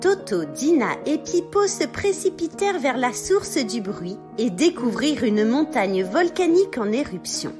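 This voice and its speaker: A woman's voice: high voice, sing-song, measured pace, few pauses. A woman narrating a scripted children's story as a storyteller. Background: music.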